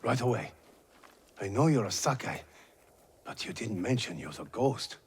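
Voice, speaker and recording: Straight-talking archer captain, mid pitch, direct, video game acting. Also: male voice, animated, a little slow, darkish tone, husky. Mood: frank, approving. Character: plain-spoken soldier leading a band of archers.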